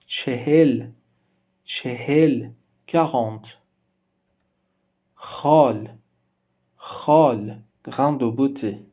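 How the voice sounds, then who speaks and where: A man's voice: mid-range voice, warm tone, lo-fi sound. A man whose native language is Persian, teaching a language lesson in French. He says each example word twice with care before giving its meaning. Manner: slow and careful, repeating each word twice before translating it.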